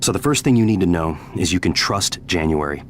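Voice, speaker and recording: A man's voice: deep voice, lively intonation, a little fast, a little hiss or hum. A man playing a weary, frank scientist in a video game, explaining things matter-of-factly in a recorded message. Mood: frank, grave.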